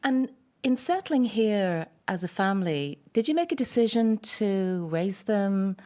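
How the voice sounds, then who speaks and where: A woman hosting an interview and asking gently probing questions. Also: mid-range voice, animated, measured pace. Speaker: interview host. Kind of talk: radio-style interview questions.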